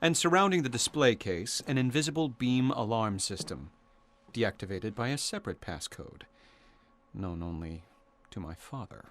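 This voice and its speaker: Smug young playboy heir, swooping intonation played by an actor. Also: male voice, medium pitch, expressive, moderate pace, slight background noise, close to an everyday voice. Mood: boastful. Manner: suave, boastful, self-satisfied. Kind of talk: radio suspense drama acting.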